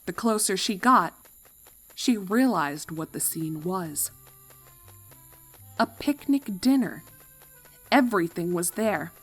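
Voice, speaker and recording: A woman reading fan fiction as narrator, expressive and dramatic, leaning on key words. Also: mid-range voice, slow pace, pauses often, faint music behind. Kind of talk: expressive scripted story narration.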